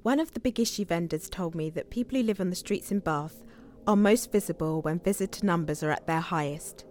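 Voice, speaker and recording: A woman's voice: on the low side, a little fast, a little mellow, soft background music, close to an everyday voice. An actress playing a hopeful, blunt, determined young homeless woman with a British accent in an audio play. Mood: reflective. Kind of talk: audio play dialogue and narration.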